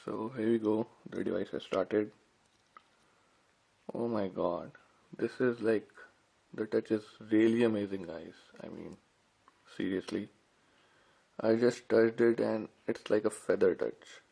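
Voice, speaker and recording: A man's voice: lowish voice, fairly even intonation, a little slow, vocal fry, long pauses, mellow, husky, low-quality recording. A man giving casual, informal unboxing commentary as a tech reviewer, talking directly to viewers. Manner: informal, addresses viewers as guys.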